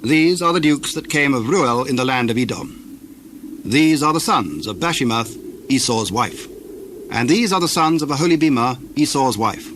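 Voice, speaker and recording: A man narrating scripture solemnly from a script, in a measured, deliberate way with pauses between phrases. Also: medium pitch, lively intonation, a bit bright. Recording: older recording with faint background noise.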